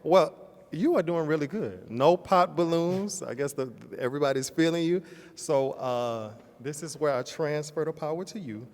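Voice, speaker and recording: A man's voice: a little high, animated, moderate pace, a little mellow. Upbeat male dating show host. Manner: upbeat, keeps things moving. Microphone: handheld mic. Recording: echoey hall.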